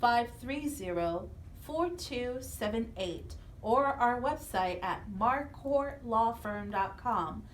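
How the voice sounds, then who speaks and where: A woman co-hosting a radio talk show, speaking in a presenter's conversational style. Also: on the high side. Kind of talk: interview questions and announcements. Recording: echoey room with faint noise.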